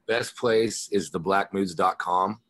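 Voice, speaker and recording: Low-voiced man chatting casually. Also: slow, a bit bright. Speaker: interview guest, rock musician. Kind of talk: casual chat, personal anecdotes.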